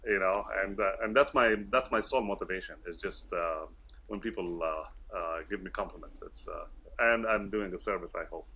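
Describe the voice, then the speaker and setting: A man in his fifties speaks with English learned at British schools, in a low and bright voice. He chats easily as an interview guest, laughing often and filling gaps with fillers and restarts. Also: normal speed, slight background noise. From Kuwait. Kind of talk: casual interview talk.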